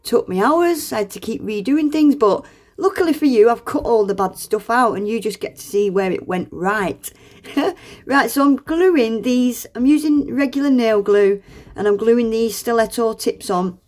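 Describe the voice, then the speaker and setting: A woman's voice: a little high, animated, moderate pace, a little mellow. A woman, congested with a cold, chatting through a nail art tutorial voice-over in a friendly, self-deprecating way and laughing often.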